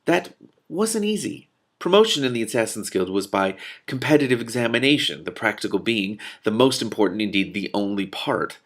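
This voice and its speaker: A man hosts a read-aloud in a low-pitched voice with heavy vocal fry. He reads expressively and drops out of the story into casual, amused commentary. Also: animated, moderate pace, a bit bright. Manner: amused, breaking off to comment and laugh. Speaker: host reading a novel aloud with commentary. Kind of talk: expressive book reading with casual reactions.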